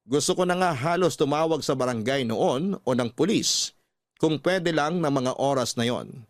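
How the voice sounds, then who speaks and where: An actor playing a hurt, protective relative who tells a family story in a measured, earnest radio drama narration. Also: male voice, mid-range voice, dry recording, natural voice.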